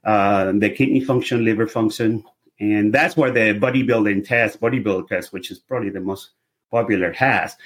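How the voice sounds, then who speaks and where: Husky middle-aged man with a Venezuelan accent. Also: on the low side, normal speed. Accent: Venezuelan Spanish. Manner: explanatory, loose run-on sentences with restarts. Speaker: guest, lab testing business owner. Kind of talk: casual podcast interview.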